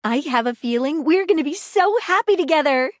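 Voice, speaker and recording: An earnest detective object in a dating game. She talks in formal, precise phrases with a lively, swinging pitch a little above the usual. The sound is close and dry. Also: a little fast, no echo. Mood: flirty. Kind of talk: game dialogue clips. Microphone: studio booth mic.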